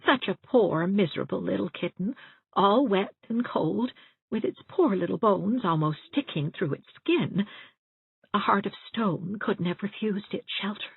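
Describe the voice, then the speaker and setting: A woman voicing a gentle, timid, chatty widow in her sixties, rambling and confiding in a genteel way, for an audiobook. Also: mid-range voice, unhurried, creaky, slightly rough, phone-quality sound, heavily acted. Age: 60s. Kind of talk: audiobook character acting. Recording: roomy, thin band-limited sound.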